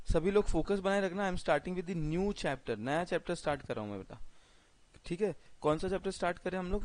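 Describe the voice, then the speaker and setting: Hoarse Indian man teaching a class. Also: high voice, no room sound. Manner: chatty, repeats phrases, mixes English words. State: sore throat. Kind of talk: live online lecture.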